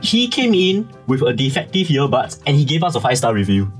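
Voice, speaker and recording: A man's voice: mid-range voice, sing-song, fairly quick, soft background music. A young Singaporean man with a Singaporean English accent, a guest telling customer stories in a casual talk show chat. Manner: storytelling, recounting customer anecdotes at length. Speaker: guest, audio brand founder.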